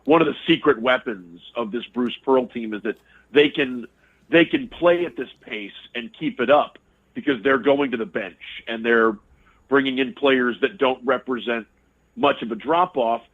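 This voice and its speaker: A man, a radio sports broadcaster, giving casual analysis as a guest, talking quickly and repeating words as he thinks. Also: mid-range voice, breathy edge. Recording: tinny phone line.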